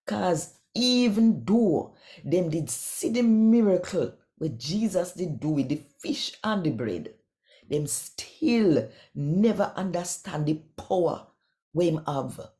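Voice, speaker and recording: A Jamaican woman hosting a channel and reading scripture aloud in a deliberate, expressive storytelling way. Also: deep voice. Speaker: channel host and scripture reader. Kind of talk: devotional Bible reading in Patois.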